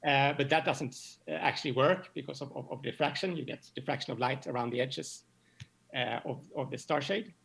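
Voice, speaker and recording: A man explaining science as an interview guest, halting and often repeating words as he goes. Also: medium pitch, gravelly, fairly bright tone. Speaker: astronomy professor, interview guest.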